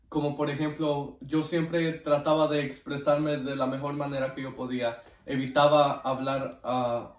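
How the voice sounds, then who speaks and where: Man, distant echoey recording. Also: mid-range voice. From Guatemala. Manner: devout, earnest, halting. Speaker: interview guest giving testimony. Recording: roomy echo, distant microphone.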